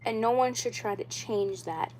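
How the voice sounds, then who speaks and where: A woman's voice: fairly high pitch, normal speed, a little mellow, slight background noise, rough recording. A young woman in her twenties hosting a casual livestream for her viewers. She talks in an earnest, insistent way, circles back to the same points, and fills her sentences with "like" and "you know.". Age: 20s. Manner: rambling and repetitive, with many "like" and "you know" fillers. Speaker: doll YouTuber.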